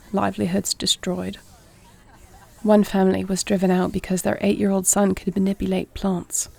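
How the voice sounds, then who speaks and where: Grave, creaky-voiced warrior queen, acted by a woman. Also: medium pitch, a little mellow, no echo. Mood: somber, sad. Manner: measured, earnest, formal phrasing. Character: duty-bound warrior dragon queen, tender but grave wife. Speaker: voice actor. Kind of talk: intimate audio roleplay acting.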